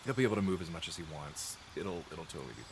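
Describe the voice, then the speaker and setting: Gameplay host, male, lower pitch with a very animated delivery, thinks aloud and restarts, throat dry and coughing, faint noise under a close mic. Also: racing. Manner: chatty, rambling, restarts and self-corrections, thinks aloud. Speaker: gameplay streamer. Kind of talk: explaining game mechanics while playing. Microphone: close desk mic.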